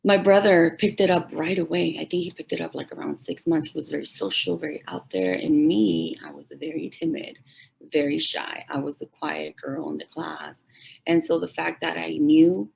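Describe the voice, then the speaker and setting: A woman in her forties from Mexico with a deep, heavily gravelly and hoarse voice. She is a native Spanish speaker who teaches Spanish, and she tells her personal story haltingly, restarting and repeating phrases. A faint hiss sits under her voice on a video call. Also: a little mellow, rough recording. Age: 40s. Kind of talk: personal story told at a forum.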